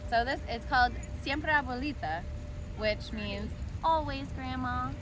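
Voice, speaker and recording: High-voiced, unhurried woman busker. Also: noisy background. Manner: friendly, chatty, halting with fillers and small laughs. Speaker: street harpist. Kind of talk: chatting with listeners between songs. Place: outdoor city park. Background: harp music.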